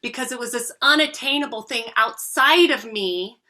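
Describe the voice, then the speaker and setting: Woman voice coach, 30s, bright tone; sincere guest on a podcast interview. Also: on the high side, moderate pace. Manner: earnest, heartfelt storytelling.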